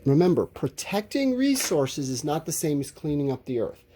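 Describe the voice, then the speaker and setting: A man's voice: a little high, big pitch swings, normal speed, warm tone, slight background noise. A man teaching a school lesson, talking informally and explaining as he writes out answers, drawing out his words. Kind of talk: instructional lesson, thinking aloud while writing answers.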